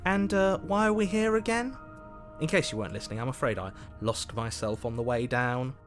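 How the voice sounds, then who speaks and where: A man's voice: medium pitch, animated, a little fast, soft background music, natural voice. A man voicing a jittery, questioning inner voice in a horror game, nervous and hesitant. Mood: confused.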